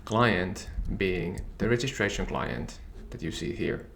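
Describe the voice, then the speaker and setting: A man whose first language is Finnish, calmly and methodically walking through a software tutorial. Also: deep voice, slow, gravelly, slight background noise. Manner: calm, methodical explaining. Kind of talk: screen-share software tutorial.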